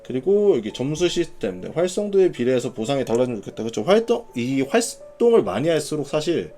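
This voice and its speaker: Male community host for a live gaming announcement: relaxed, warm tone, animated pitch movement, conversational and explanatory. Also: quick pace, soft background music. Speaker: gaming clan leader hosting announcement broadcast. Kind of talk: informal live announcement, reading notes and commenting.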